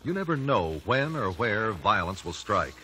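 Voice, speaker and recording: An actor playing an earnest, composed American investigator, speaking articulately in old-time radio drama style. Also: male voice, lowish voice, sing-song, moderate pace, sharp tone. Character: earnest, measured gentleman investigator, the hero. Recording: noisy vintage radio recording.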